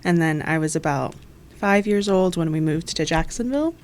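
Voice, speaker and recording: Lowish-voiced woman explaining her work. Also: animated, moderate pace, darkish tone. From Florida, USA. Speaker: exchange coordinator. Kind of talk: interview answers.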